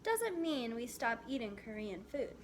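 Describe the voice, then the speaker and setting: A woman's voice: a little high, very animated, measured pace, slight background noise. A woman voicing a firm, loving, encouraging mother in a children's storybook. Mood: firm, reassuring.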